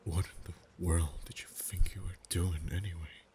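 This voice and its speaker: A man voicing a protective male dragon in human form, tender yet teasing, speaking softly and often in a whisper for an ASMR roleplay. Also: low voice, flat delivery, moderate pace, slight background noise, close to an everyday voice. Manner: soft, hushed, often whispered.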